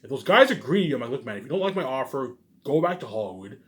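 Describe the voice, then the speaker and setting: A man's voice: medium pitch, big pitch swings, quick pace, very noisy. A man in a rambling casual monologue, boastful and repetitive, with emphatic bursts that trail off. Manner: boastful, self-assured.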